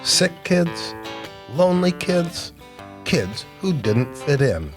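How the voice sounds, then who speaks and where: A man hosting a children's storytime, reading aloud with expressive, drawn-out emphasis on key words. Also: fairly high pitch. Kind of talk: animated picture-book read-aloud for children. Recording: music playing behind the voice. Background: guitar music.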